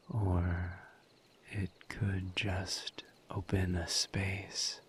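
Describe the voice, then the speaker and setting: Man playing a very slow, hushed, low-voiced demon. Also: slight background noise, exaggerated voice. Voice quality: soft, breathy near-whisper. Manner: measured, halting, formal diction with long pauses. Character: calm, formal male misery demon, gently perceptive. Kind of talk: audio roleplay, intimate scripted monologue.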